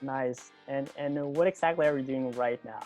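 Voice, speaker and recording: A man hosting a podcast interview over a video call, speaking English as a second language, halting, with many fillers and restarts. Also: medium pitch, a little mellow, soft background music. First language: not English. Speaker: podcast host.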